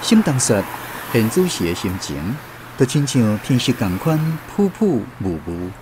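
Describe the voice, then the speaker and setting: A man's voice: mid-range voice, big pitch swings, measured pace, background noise. A man with a Taiwanese accent narrating a scripted literary radio drama as a storyteller.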